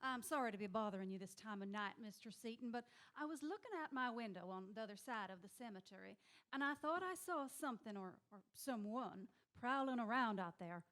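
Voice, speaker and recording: Woman playing a highish-voiced, folksy, cautious judge. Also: expressive, fairly quick, fairly bright tone. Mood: courteous. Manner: polite, hesitant, folksy. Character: cautious, courteous small-town judge with poor eyesight. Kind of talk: old-time radio horror acting.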